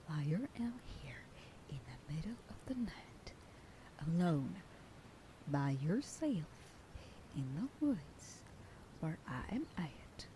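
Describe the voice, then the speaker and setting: A stern, protective werewolf sheriff girlfriend, played by a woman, bossy and scolding. Her voice sits low and mellow. A faint hiss of noise lies under the recording. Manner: scolding, bossy then whispering tenderly. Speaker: voice actor. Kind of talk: F4M ASMR roleplay.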